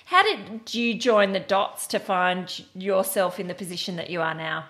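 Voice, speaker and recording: A woman hosting a podcast, reading a scripted introduction clearly and then asking interview questions. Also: mid-range voice, normal speed, fairly bright tone. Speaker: podcast host.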